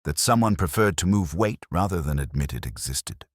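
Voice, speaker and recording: A man voicing a mild, thoughtful police inspector in a mystery story, understated, deliberate and dryly wry. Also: low voice, animated, no room sound, clean studio sound. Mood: dry, musing. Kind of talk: mystery audiobook character reading.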